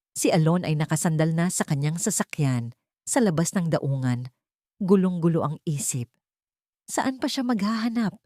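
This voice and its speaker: A female narrator reads a scripted love story in the manner of an audiobook. Her voice sits low and is soft and dark in tone. The sound is dry, with very little room around it. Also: no echo.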